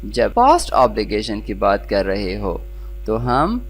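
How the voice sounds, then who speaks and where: Pakistani-accented grammar instructor, mid-pitched, very varied intonation, deliberate enunciation, faint humming noise on the recording. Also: wildly swooping pitch, vocal fry. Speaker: English grammar teacher. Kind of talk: scripted lesson, explaining and reading example sentences.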